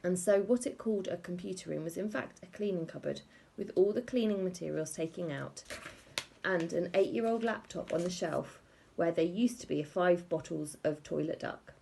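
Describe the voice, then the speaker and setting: A woman reads a children's story expressively. Her voice is lowish and mellow, with a gravelly creak as she narrates. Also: normal speed. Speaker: audiobook reader.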